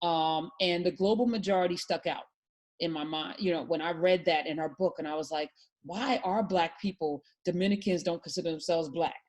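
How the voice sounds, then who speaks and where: A woman's voice: fairly low pitch, fairly even intonation, fairly quick, a little mellow. A woman hosting a talk show, speaking with emphatic, passionate conviction in casual political discussion.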